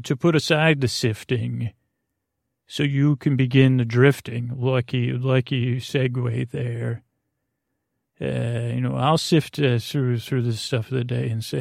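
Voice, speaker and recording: A man hosting a bedtime podcast in a meandering, hesitant, whimsical monologue, goofy and gently reassuring, often trailing off mid-thought. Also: medium pitch, vocal fry, dry recording, clean studio sound. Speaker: podcast host.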